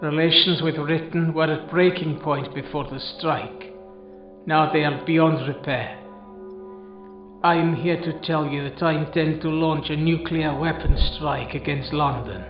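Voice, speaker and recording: Male, actor as a vengeful, formal head of state, raised pitch, loud music behind, radio drama monologue. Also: steady tone, natural voice. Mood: menacing. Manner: formal, deliberate, menacing. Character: menacing, vengeful male foreign leader, coldly formal. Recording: dull, echoey recording under loud music.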